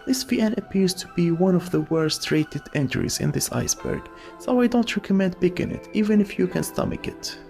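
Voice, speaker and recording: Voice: mid-range voice, expressive, a little fast, gravelly, a little mellow, soft background music. A narrator reading a scripted voice-over commentary with opinionated, wry asides. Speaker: video essay narrator.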